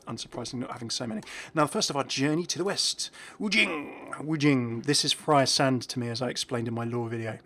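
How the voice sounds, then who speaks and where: A man's voice: medium pitch, fairly bright tone, a little hiss or hum. A man giving casual, enthusiastic game commentary in an informal, chuckly way. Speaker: video creator presenting game content.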